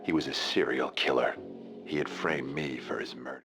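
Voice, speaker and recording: An actor playing the tormented hero of a parody noir show, in a portentous, breathy voice-over. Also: male voice, extremely low pitch, fairly even intonation, normal speed, over music. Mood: hushed, haunted. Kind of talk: melodramatic scripted noir voice-over.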